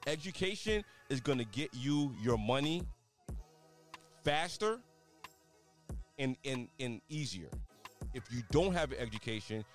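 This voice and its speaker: A man's voice: mid-range voice, lively intonation, a little slow, pauses often, soft background music. A man with Haitian parents making his case in a casual podcast debate, earnest and stumbling over words, often set a bit back from the mic. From United States, Haitian parents. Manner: earnest, repeats "you know what I mean", stumbles over words. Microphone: told to talk closer to the mic.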